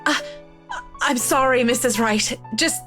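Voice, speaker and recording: Guarded young-lady professional, polite, deferential, highish pitch, game dialogue, faint music. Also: unhurried, bright, forceful tone. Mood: shaken but polite. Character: polite, guarded professional woman designer, Marianne. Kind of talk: visual novel voice acting.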